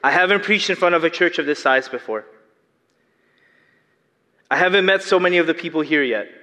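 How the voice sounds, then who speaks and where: A man's voice: fairly high pitch, a little fast, pushed and bright. A young adult man from the Philippines with a Filipino accent and a slight lisp, preaching an earnest sermon and asking his congregation questions. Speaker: lay preacher. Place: large church hall. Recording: long hall reverb.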